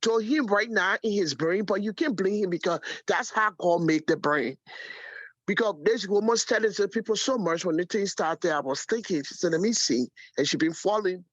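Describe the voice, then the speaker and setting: A muffled phone line carries a woman caller. Her voice is mid-pitched and dry. She talks casually and gives her opinions freely. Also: moderate pace, dry recording. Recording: muffled, band-limited phone call.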